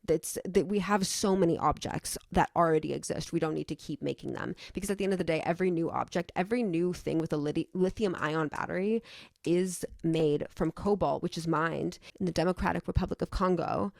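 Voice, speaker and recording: A woman's voice: lowish voice, a little fast, creaky, a little mellow, dry recording, clean studio sound. A young adult woman vlogging in a casual, rambling way, opinionated and emphatic, with some swearing.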